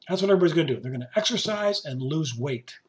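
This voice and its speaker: A man hosting his podcast in casual monologue, his voice hoarse and raspy, rambling and joking as he talks to his musician. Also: fairly high pitch, lively intonation, rapid-fire. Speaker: podcast host. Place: basement home studio.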